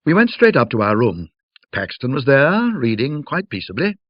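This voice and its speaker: A man narrating a classic ghost story in a measured, atmospheric, old-fashioned way, with conversational asides. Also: mid-range voice, very animated, dry recording, muffled recording. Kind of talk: dramatic scripted storytelling, in an old-fashioned classic audiobook manner.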